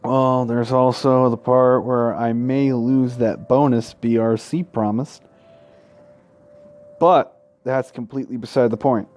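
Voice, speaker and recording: A man's voice: medium pitch, steady tone, takes it slowly, a little mellow. A man reading game narration aloud in a let's play, irreverent and sweary, often tripping over the words. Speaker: gaming YouTuber.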